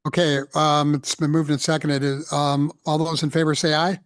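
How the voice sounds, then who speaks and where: A man chairing a city council meeting, calling for motions and votes in a brisk, procedural way. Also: a little high, little pitch movement, no room sound, studio quality.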